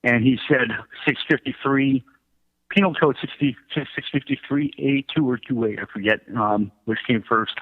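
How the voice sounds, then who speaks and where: A middle-aged man telling his own story as a radio interview guest, in a halting, self-correcting way. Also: mid-range voice, steady tone. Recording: thin phone-like line.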